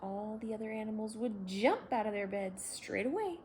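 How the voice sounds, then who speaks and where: A woman hosting a children's read-aloud, telling a bedtime story in a gentle, expressive way. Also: medium pitch, normal speed, a little hiss or hum. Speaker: children's story reader and host.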